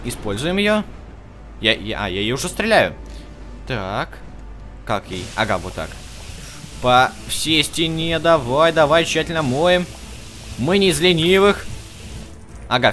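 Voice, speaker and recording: A man with a mid-pitched voice gives casual let's play commentary as a gaming video host. He thinks aloud and addresses the game characters directly, with music clearly playing behind him. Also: fairly bright tone.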